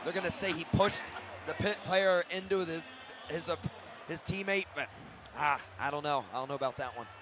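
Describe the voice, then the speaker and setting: A man's voice: a little high, normal speed. An American man giving informal, blunt color analysis during a live basketball broadcast. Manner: informal, blunt, critical asides. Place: courtside in a large indoor arena. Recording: live arena broadcast, noisy crowd behind. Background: crowd, arena noise, bouncing basketball.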